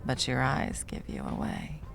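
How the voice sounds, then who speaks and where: Casting: actress as confident, teasing female bartender for ASMR roleplay. Very deep, creaky voice, intimate delivery. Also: big pitch swings, faint music behind, full character voice. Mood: playful, teasing. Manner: teasing, unhurried, soft chuckles, dropping toward whispers. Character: confident, flirtatious, protective hot female bartender. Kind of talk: ASMR roleplay, intimate one-sided conversation.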